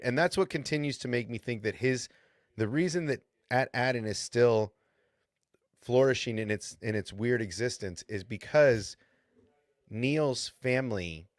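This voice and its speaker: A man's voice: mid-range voice, no echo, clean studio sound. A man with a lisp, hosting a podcast in casual, rambling chat and often checking in with "right?". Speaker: podcast host.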